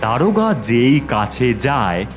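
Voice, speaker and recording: A male storyteller delivers dramatic scripted horror narration. His pitch is middling and his pace is slow, with music clearly behind him. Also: very animated.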